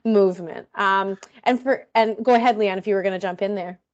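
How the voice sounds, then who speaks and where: A woman's voice: mid-range voice, a little fast, muffled recording. A woman moderating a panel discussion, affirming and restating speakers' points in a supportive way.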